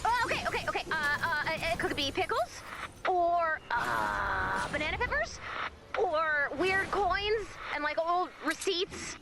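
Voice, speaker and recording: An actress playing a quirky, neurotic young heroine in a video game, rambling and wry. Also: female voice, very high-pitched, big pitch swings, measured pace, gravelly, exaggerated voice. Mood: flustered. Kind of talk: animated video game voice acting. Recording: loud music over the dialogue.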